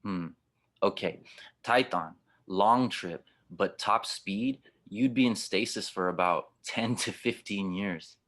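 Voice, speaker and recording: Man playing low-voiced cynical cyborg skipper. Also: big pitch swings, takes it slowly, a bit bright, exaggerated voice. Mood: sly, pressing. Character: gruff cynical cyborg spaceship skipper, streetwise, teasing. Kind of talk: fan audio drama table read.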